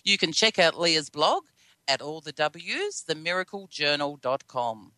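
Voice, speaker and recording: A woman's voice: sits low, sing-song, a little slow, pushed and bright, dry recording. A woman with an Australian accent hosting a radio show, chatty and upbeat, with a slightly scratchy voice. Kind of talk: live radio hosting and interviewing.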